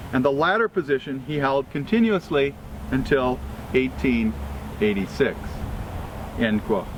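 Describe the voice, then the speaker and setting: Higher-voiced man reading a tribute, noisy outdoors. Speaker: reader at a historical commemoration. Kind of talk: formal scripted tribute reading. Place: outdoors at a cemetery gathering. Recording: outdoor recording with clear ambient vehicle noise.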